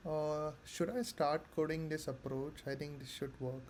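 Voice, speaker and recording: A man thinking aloud haltingly as a candidate in a mock coding interview. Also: on the high side, steady tone, a little mellow, slight background noise. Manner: hesitant, halting.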